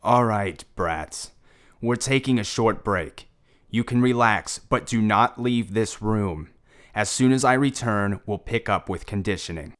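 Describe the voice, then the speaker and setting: A low-voiced, stern squad leader, acted by a man in a fan-made audio drama. He is sardonic and curt, giving orders dryly like a ruthless mentor. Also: a little slow, a bit bright. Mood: curt, commanding.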